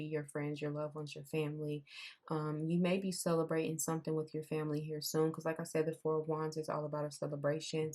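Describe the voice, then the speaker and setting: Young woman doing a tarot reading, low flat voice gone kinda raspy, keeps saying "i'm seeing". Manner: conversational, repeats herself, says "I'm seeing" often. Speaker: tarot reader. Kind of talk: casual pick-a-card tarot reading.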